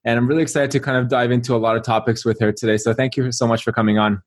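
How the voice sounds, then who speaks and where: A young man hosts a podcast in a friendly, mid-pitched voice. He reads a scripted intro, then slips into a casual interview with plenty of filler words. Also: flat delivery, very fast. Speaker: podcast host and dentist. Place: Australia.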